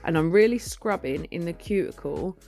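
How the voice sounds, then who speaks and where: A woman with a deep, husky voice talks through a nail tutorial in a relaxed, informal way, often poking fun at herself. She records the voice-over on a phone, and soft music plays quietly underneath. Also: moderate pace. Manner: chatty, informal, self-deprecating. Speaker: nail artist and educator.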